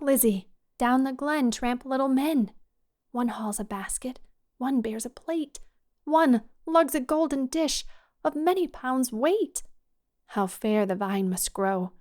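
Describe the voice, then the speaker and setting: A woman's voice: high-pitched, a little mellow. A woman playing Laura, a curious and eager young woman, speaking with breathless wonder.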